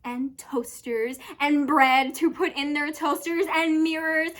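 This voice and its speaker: A woman's voice: sits high, fairly even intonation, slow, fairly bright tone, voice pushed up. A young woman playing a manic, unhinged storyteller in a theatrical dramatic monologue, erratic and breaking into laughter through her words. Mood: manic, giddy. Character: manic, unhinged young woman telling bitter absurd stories.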